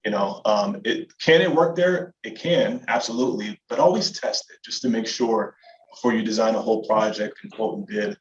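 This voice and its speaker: A man's voice: lowish voice, moderate pace, lo-fi sound. A man giving casual, enthusiastic and emphatic product talk as a company representative on a webinar.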